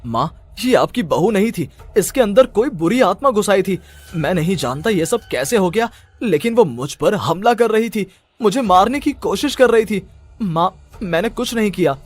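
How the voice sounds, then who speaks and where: A man's voice: high-pitched, lively intonation, normal speed, no room sound. A man voicing an anxious, pleading young husband in a dramatized horror cartoon story, agitated and prone to stammer. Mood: panicked, frightened. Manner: agitated, stammering when frightened.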